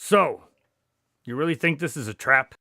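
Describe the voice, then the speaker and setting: An actor playing an indignant, excitable detective's partner who tells stories in a radio drama. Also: male voice, on the high side, normal speed, no room sound, clean studio sound.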